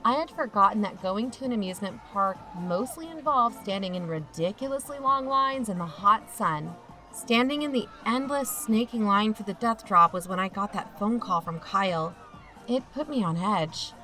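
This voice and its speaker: A woman's voice: medium pitch, lively intonation, normal speed, bright, forceful tone, faint music behind. A woman playing a watchful youth-camp chaperone who narrates an audio drama in a confiding first person. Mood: reflective, uneasy.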